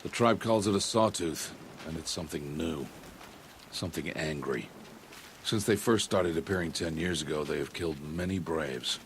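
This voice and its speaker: A man playing a stern, stoic middle-aged hunter and mentor in a video game, speaking in a grave, measured, solemn way. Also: slight background noise. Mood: solemn warning. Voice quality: very deep, weighty. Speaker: mentor and guardian. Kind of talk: dramatic video game acting. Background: prominent background music.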